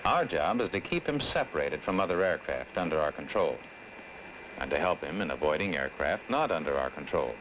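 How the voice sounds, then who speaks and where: An American man acting as an affable, earnest air traffic specialist, explaining in a measured way in an old educational film. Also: a bass voice, lively intonation. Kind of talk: scripted 1960s educational film dialogue and narration. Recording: old 1960s film soundtrack, faint noise.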